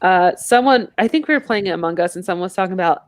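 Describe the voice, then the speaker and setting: A woman's voice: fairly low pitch, animated, fast talker, no echo. A woman co-hosting a casual podcast, bantering bluntly and irreverently. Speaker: podcast co-host.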